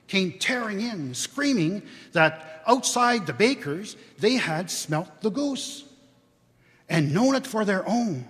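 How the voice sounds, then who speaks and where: An older man giving an expressive, unhurried dramatic reading of a classic story in a church. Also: on the high side, husky. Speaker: dramatic reader. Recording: long church reverb.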